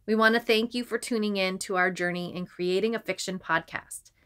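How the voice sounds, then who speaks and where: A woman's voice: mid-range voice, fairly even intonation, fairly quick, a bit bright, clear, resonant tone. A woman co-hosting a podcast, explaining things in a casual, conversational way. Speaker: podcast co-host.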